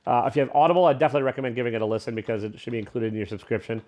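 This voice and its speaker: A man's voice: medium pitch, very fast, a little mellow. A man giving a casual, unscripted review as a vlogger, rambling along in an irreverent, self-deprecating way.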